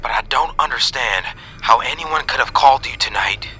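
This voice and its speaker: A man's voice: mid-range voice, lively intonation, bright, forceful tone, pitched above the performer's own voice, poor audio. A man playing an authoritative, matter-of-fact police officer on a call in a horror story. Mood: confused, grave. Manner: authoritative, brisk.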